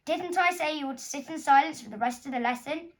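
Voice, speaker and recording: A woman's voice: high-pitched, fast, pitched above the performer's own voice, close to an everyday voice. A woman voicing a stern, bad-tempered male supply teacher in a storybook, with a barking, commanding delivery. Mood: irate.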